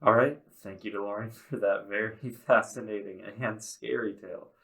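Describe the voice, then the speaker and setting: A man's voice: on the low side, fairly even intonation, moderate pace, creaky, a bit bright. A man casually announcing the next segment as an event host.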